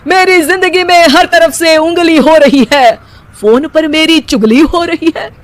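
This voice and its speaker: A man's voice: very high voice, measured pace, fairly bright tone, dry recording. A voice actor playing a lazy young husband in a cartoon who lives off his in-laws and recites rhyming couplets with comic flourish. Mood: aggrieved. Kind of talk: cartoon voice acting.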